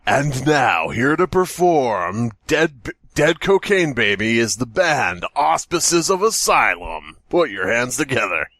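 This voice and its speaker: A man's voice: medium pitch, very animated, slow pace, hardly any pauses, fairly bright tone, dry recording. A man co-hosting a comedy podcast, riffing casually in a joking, playfully theatrical manner. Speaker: podcast co-host.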